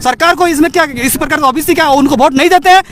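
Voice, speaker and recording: A man's voice: very high voice, very fast, fairly bright tone, some chatter behind. A young man with a Jharkhand accent giving an indignant, insistent street interview as a protester. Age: young adult.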